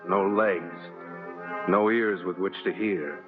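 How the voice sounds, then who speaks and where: A man's voice: low-pitched, fairly even intonation, slow pace. A man playing a grave, declamatory narrator in a 1940s radio play. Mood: solemn, grave. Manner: solemn, declamatory. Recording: muffled vintage radio recording. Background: dramatic brass music.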